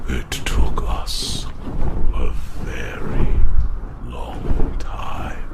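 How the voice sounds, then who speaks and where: A man voicing a proud ancient dragon who tells his tale in a halting, dramatic, breathy growl, in a fantasy ASMR roleplay. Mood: wistful. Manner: dramatic, halting, addresses listener as human. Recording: loud rushing ambient noise.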